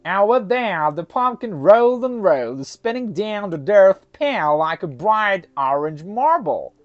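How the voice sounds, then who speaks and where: Slow, lively storyteller, slightly muffled. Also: mid-range voice, faint music behind. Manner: halting, self-conscious English in chat. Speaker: storyteller and co-host. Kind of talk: casual chat and folktale narration.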